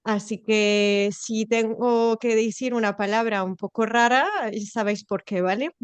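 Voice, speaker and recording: A woman's voice: a little high, steady tone, a little slow, clean tone, no echo. A woman with a mixed international accent, Spanish as her second language after French, opening an online talk in a friendly, hesitant way. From France and Spain. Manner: friendly, hesitant restarts, light laughs. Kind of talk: casual conference introduction. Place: in-person event in Valencia.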